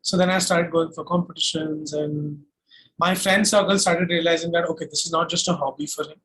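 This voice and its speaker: A young man with an Indian accent speaks in a high-pitched voice. He talks as a singer being interviewed, casually telling stories in a conversational flow. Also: fairly even intonation, fairly quick, a bit bright, poor audio. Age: young adult. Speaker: singer-songwriter guest.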